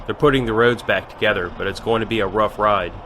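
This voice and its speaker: An actor playing a commanding army major who gives terse, authoritative orders in an audio drama. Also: male voice, fairly low pitch, fairly even intonation, quick pace, background noise, lightly acted. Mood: gruff, calm. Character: commanding male army major leading a fighter unit.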